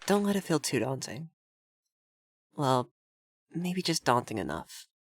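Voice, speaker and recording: A man's voice: mid-range voice, sing-song, a little slow. A man voicing a haughty, flustered, effeminate vampire lord in a scripted ASMR roleplay, prim and bossy in manner. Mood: encouraging, teasing.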